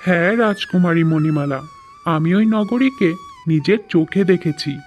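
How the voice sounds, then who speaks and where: A man's voice: sits high, unhurried, muffled recording, soft background music. Man as proud, earnest young suitor.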